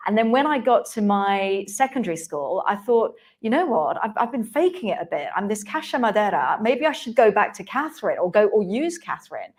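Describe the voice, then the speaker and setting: A woman speaking on a conference panel, chatty and candid, with frequent restarts. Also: medium pitch. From England. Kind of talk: panel discussion. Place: conference hall.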